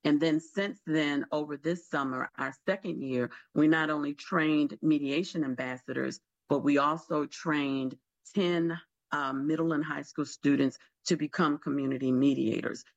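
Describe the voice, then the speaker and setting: A man describing his mediation program on a radio talk show, pausing often with fillers. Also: fairly high pitch, steady tone, vocal fry, dry recording, lo-fi sound. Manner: hesitant, many fillers. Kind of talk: radio interview.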